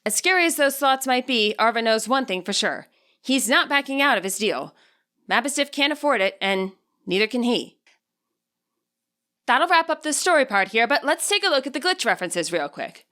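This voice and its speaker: A woman reading expressive scripted narration as the author and narrator of an audiobook. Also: fairly high pitch, normal speed.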